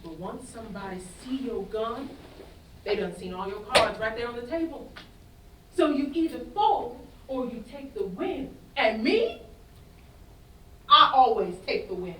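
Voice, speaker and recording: A bold, swaggering woman played by a stage actress teases and commands in a high voice that swoops widely. She is tough and sure of herself, as in a play reading, heard from a distance in a roomy space. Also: lively intonation, a little slow, pauses often, bright, forceful tone. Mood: boastful menace. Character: bold, swaggering gun-toting Southern woman, teasing and tough. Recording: roomy, distant stage recording with faint noise.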